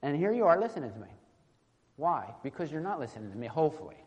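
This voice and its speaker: A man preaching an informal, teaching-style sermon in a halting, conversational way, often checking in with short tag questions. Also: on the high side, big pitch swings. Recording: echoey hall with long reverb.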